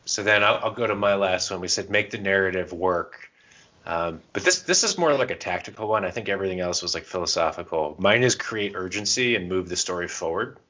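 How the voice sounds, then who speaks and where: A man's voice: deep voice, a little fast, pushed and bright. A man co-hosting a podcast, chatting casually and informally as he shares advice and anecdotes. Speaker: podcast co-host. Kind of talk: casual chat giving gaming advice. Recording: dull, muffled lo-fi podcast audio.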